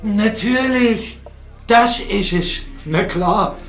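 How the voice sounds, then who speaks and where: A middle-aged man acting a gruff, commanding, sardonic captain and jealous fiancé in a German radio drama, mocking and blustering. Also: high-pitched, drawn-out words, fairly bright tone, exaggerated voice. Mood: excited. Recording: studio radio drama with music underneath.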